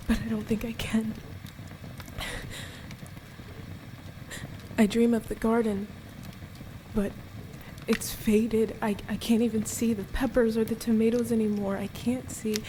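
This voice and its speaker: Radio drama actress for captive young woman: high voice, emotional, defiant yet wounded, light background noise. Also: warm tone, natural voice. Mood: grieving, homesick. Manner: emotional, accusing, pleading. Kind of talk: radio play acting.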